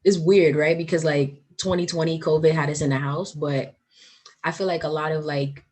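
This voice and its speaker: A woman's voice: sits low, steady tone, moderate pace, darkish tone. A woman in her twenties hosting a podcast in casual, upbeat chat, full of "like" and "um". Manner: chatty, upbeat, lots of "like" and "um". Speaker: podcast host.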